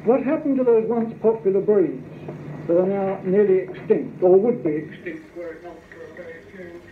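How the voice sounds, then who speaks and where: A woman's voice: fairly low pitch, moderate pace. A woman giving a formal recorded talk, heard from an old tape. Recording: noisy muffled old tape playback.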